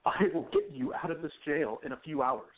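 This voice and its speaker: A man's voice: fairly high pitch, lively intonation, a little fast, lightly acted. A man playing an affectionate, reassuring young newspaperman in an amateur radio play. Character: young male newspaperman, loving and devoted. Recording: tinny phone-like sound.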